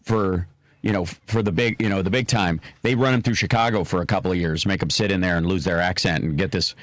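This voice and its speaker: A man's voice: sits low, a little fast, a bit bright, no room sound, clean studio sound. A man from Kentucky with a Midwestern accent, co-hosting a radio talk show with wry, joking banter. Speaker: guest co-host. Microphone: studio mic.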